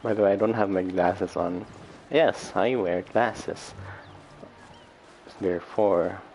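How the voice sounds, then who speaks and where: A man's voice: sits low, slow, a little mellow, a little hiss or hum. A man livestreaming a video game with casual, offhand commentary.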